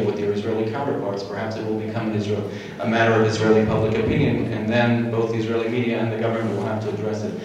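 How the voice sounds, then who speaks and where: Man moderating in a very echoey hall. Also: fairly low pitch. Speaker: panel moderator. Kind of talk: moderating a public panel discussion. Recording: echoey hall, distant microphone.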